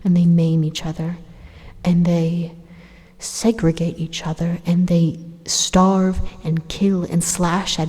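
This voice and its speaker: Rogue AI for ASMR roleplay, female performer: hushed and breathy, very unhurried, calmly menacing and condescending, drenched in long reverb. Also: lowish voice. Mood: cold indignation. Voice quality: breathy, whispery. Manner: deliberate, pausing, condescending. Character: calm, menacing supercomputer robot turned evil, coldly persuasive.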